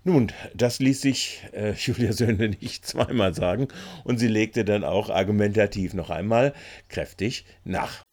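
Male local news reporter, low register, wry and colloquial, slightly halting. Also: darkish tone, slight background noise.

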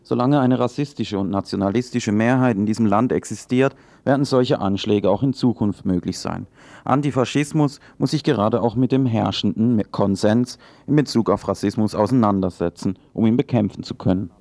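A man delivers sober radio commentary in a low voice with noticeable creak. The delivery is formal and critical, and the recording is dry and close. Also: moderate pace, a little mellow, no echo. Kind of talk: scripted political commentary.

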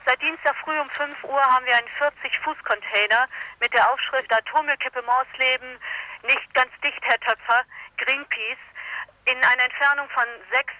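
A woman activist giving a factual report in a live phone interview, often halting and searching for words. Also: sits high, steady tone, bright, forceful tone, no echo. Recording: dull, lo-fi telephone line.

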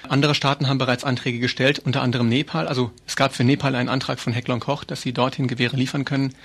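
Man, activist interviewee, very quick pace, narrow pitch range, earnest, hesitant phrasing, informal radio interview. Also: level tone, a bit bright, breathy edge.

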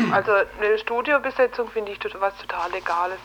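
A woman's voice: medium pitch, normal speed, background noise. An outspoken, opinionated woman arguing colloquially in a casual radio discussion, often ending phrases with tag questions. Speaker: radio station editorial staff member calling in.

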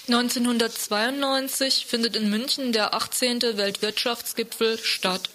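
A woman's voice: a little high, fairly even intonation, a little slow, pushed and bright. A woman presenting on radio, reading out a scripted news report.

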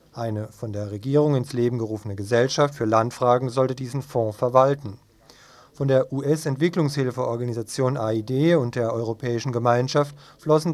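A man presenting a radio news report, reading scripted narration in a measured, factual manner. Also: medium pitch, a little mellow.

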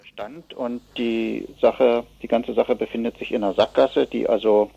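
A man giving spontaneous political analysis as an expert interview guest, speaking haltingly with many filled pauses and rephrasings. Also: medium pitch, steady tone, creaky, husky, no room sound. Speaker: expert guest from an advocacy association. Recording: dull, lo-fi radio interview sound.

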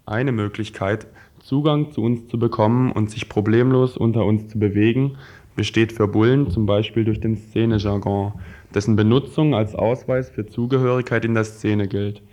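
A man's voice: on the low side, steady tone, moderate pace, dark tone. A man reading prepared political texts aloud in a scripted radio style.

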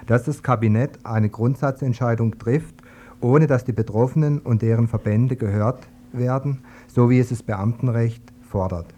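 A man reading a scripted radio news report in a steady, even delivery. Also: mid-range voice.

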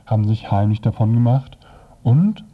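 A man presenting on radio, retelling a comic's story in a dry, hesitant, semi-improvised way with a husky voice. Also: medium pitch, expressive, normal speed. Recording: dull, lo-fi radio recording.

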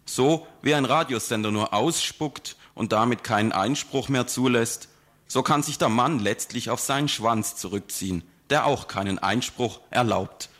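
A man narrating a scripted radio report in a measured, emphatic way. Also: medium pitch, fairly bright tone.

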